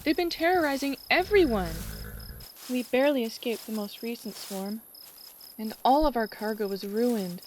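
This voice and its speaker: A woman playing a friendly, teasing young ranch girl in a fantasy audio drama, chatty and expressive. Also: on the high side, normal speed, slight background noise, close to an everyday voice. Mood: worried, somber.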